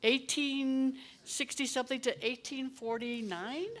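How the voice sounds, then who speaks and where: A woman in the audience asks the lecturer a question. Her voice sits a little high for a woman. She is chatty and forthright and says her voice carries. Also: steady tone, measured pace. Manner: chatty, self-described loud voice. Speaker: audience member. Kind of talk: asking a question.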